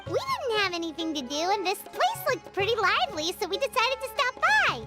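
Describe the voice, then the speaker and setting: A woman voicing a tiny, excitable fairy girl companion in a video game, chirpy and childlike. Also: very high voice, a little fast, full character voice. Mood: curious. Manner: childlike, chirpy, speaks of herself in third person. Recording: loud game music behind.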